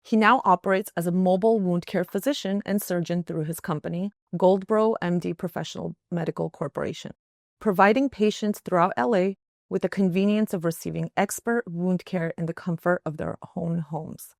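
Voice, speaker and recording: A woman's voice: lowish voice, normal speed, vocal fry, no echo, clean studio sound. A woman hosting a podcast interview, friendly and effusive as she introduces and questions her guest. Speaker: podcast host.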